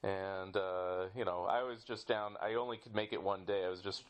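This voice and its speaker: Guy hosting a podcast interview, lowish voice, casual, kinda rambling and halting questions. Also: steady tone.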